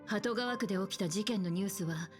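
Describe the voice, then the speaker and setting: A composed mature woman leader from a game speaks in a measured, cautious way, voiced by an actress. Her lines come quickly over steady game music. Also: mid-range voice, close to an everyday voice. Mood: calm. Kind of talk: Japanese game voice acting.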